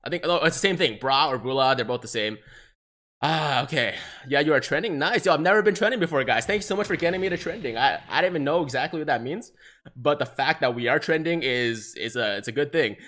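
A young man from China, a native Mandarin speaker, excitedly streaming live gaming commentary on a headset and reading chat aloud. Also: a little high, fast. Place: small room, seated in gaming chair.

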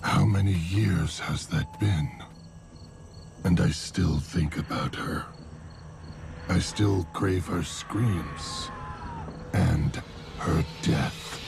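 An actor playing a murderous, obsessive clown killer who gloats in slow, menacing narration. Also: male voice, full character voice. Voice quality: low gravelly drawl. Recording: loud music score behind.

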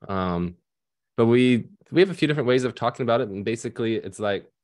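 A man's voice: mid-range voice, lively intonation, fast talker, a little mellow. A man in his thirties, a financial advisor on a video call panel, talking casually with many fillers and restarts. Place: home room at night. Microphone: headset on video call.

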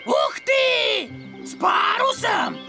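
A woman voicing an excitable, greedy young caterpillar sidekick in a children's cartoon, squeaky and eager. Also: drawn-out words, music in the background, heavily acted. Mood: eager, cheeky. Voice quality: squeaky, creaky. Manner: eager, exclamatory.

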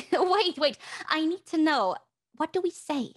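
A woman's voice: high-pitched, animated, measured pace, no room sound, clean studio sound. A young woman playing a spoiled, dramatic socialite in an audio drama. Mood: coy, uneasy. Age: young adult. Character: spoiled, indignant young actress playing a secretive socialite house guest.

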